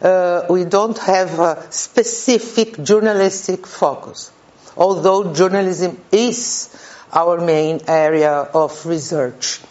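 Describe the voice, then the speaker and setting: A Brazilian woman professor with a Portuguese accent gives an informal talk at a conference, unhurried and full of hesitant fillers. Her speech comes through a slightly muffled, lo-fi recording. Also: fairly low pitch, darkish tone. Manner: halting, many uh fillers and restarts. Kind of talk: informal academic presentation. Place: conference room.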